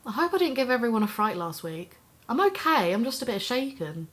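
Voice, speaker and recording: A woman's voice: on the high side, very animated, a little fast. An actress playing a young woman co-host, a bit shaken after an attack yet upbeat.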